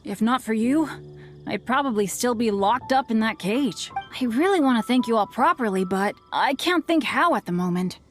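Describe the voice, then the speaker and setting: An earnest young woman speaks in a heartfelt, grateful way, voiced by a woman. Her voice sits a little high. Faint game music plays underneath. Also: animated, moderate pace, close to an everyday voice. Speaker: game character. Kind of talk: video game voice acting.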